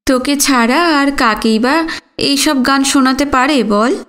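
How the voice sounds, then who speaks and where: Woman reader as teasing big sister, young, playful, easily flustered, slow storybook dialogue. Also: sits high, a bit bright. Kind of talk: audiobook character dialogue.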